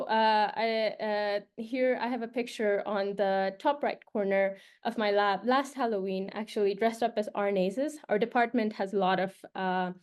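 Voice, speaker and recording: A woman's voice: medium pitch, darkish tone. A young woman from Nepal, a postdoctoral researcher, giving a casual presentation with many fillers and restarts. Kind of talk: informal presentation to prospective postdocs.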